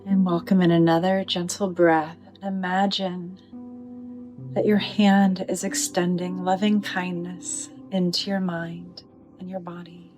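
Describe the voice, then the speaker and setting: A woman leading a guided meditation in a gentle, soothing manner, with deliberate phrasing and pauses. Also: fairly low pitch, level tone, music in the background.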